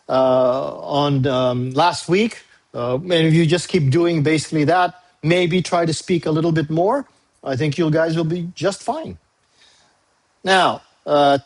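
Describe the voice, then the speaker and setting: A male university English teacher, a native Tagalog speaker, recording a class briefing video; he talks patiently, repeats key dates and fills pauses with "uh". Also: a little high. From Philippines. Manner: frequent "uh" fillers.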